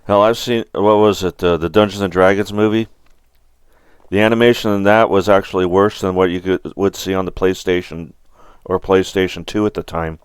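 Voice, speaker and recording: Middle-aged man, casual livestream host, clean resonant voice, dry close sound, halting and rambling. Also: deep voice, fairly even intonation, smooth, no room sound.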